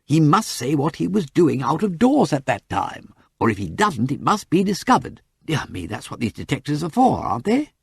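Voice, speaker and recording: A man voicing a prim, sanctimonious lady who talks slowly and moralizes. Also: on the high side, huge pitch swings, vocal fry, slightly rough, no echo, clean studio sound, pitched above the performer's own voice, full character voice. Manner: slow, primly moralizing.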